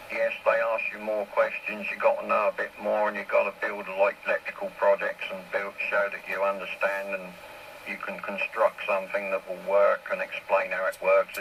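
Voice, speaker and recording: A man's voice: on the low side, vocal fry, fairly bright tone. A man chatting casually over a two-way radio, explaining things step by step in a plain-spoken way. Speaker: amateur radio operator. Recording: noisy two-way CB radio transmission.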